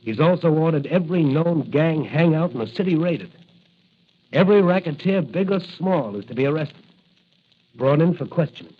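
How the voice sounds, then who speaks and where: An actor playing an earnest, resolute young cop who fights crime in a mask, in deliberate, declamatory old radio style. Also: male voice, fairly high pitch, lively intonation, slightly rough. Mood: grave, resolute. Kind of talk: 1940s radio adventure acting. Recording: muffled vintage radio recording.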